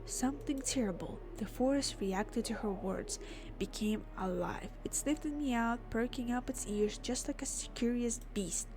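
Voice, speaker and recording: A woman doing a let's play, reading game text aloud and adding expressive, informal reactions. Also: fairly high pitch, moderate pace, background noise. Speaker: gamer, let's player. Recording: clear game music behind the voice. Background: game music.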